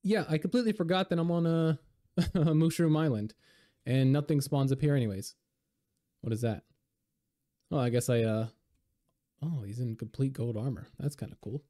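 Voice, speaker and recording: A man talking casually over gameplay, hoarse and a little raspy from being sick, rambling with lots of fillers. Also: mid-range voice, normal speed, long pauses. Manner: relaxed, rambling, many fillers. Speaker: game video creator.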